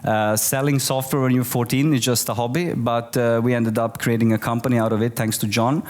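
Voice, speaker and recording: A man in his forties from Bosnia, giving a keynote talk to an audience, relaxed and full of fillers and restarts. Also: medium pitch, fairly quick. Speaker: keynote speaker. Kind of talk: conference talk. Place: office event space with audience.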